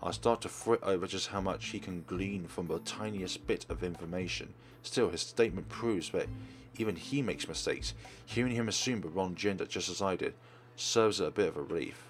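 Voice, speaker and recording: A man's voice: deep voice, moderate pace, soft background music. A man narrating a visual novel aloud as a let's play host. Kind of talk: reading visual novel aloud, narrating and doing character voices.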